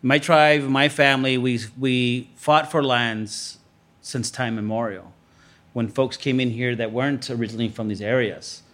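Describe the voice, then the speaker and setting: A man's voice: mid-range voice, steady tone, normal speed, fairly bright tone. A man from Los Angeles speaking unscripted as a panelist in an earnest, emphatic way. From Los Angeles, United States.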